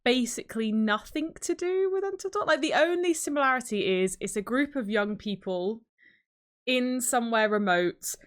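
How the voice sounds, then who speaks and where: A woman's voice: a little high, lively intonation, moderate pace. A woman co-hosting a podcast in casual, informal chat, correcting herself as she goes. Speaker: podcast co-host.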